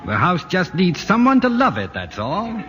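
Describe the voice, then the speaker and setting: An actor playing a harried, middle-aged city ad man, brisk and exasperated, in 1940s radio acting. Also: high-pitched, wildly swooping pitch, fairly bright tone, exaggerated voice. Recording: dull old radio broadcast with music.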